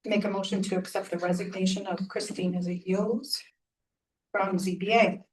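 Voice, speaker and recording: A woman's voice: mid-range voice, normal speed. A woman on a town board reading out motions and dollar amounts in a businesslike way. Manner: flat, businesslike reading of figures. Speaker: town selectwoman. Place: meeting room. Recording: voice from far off the mic.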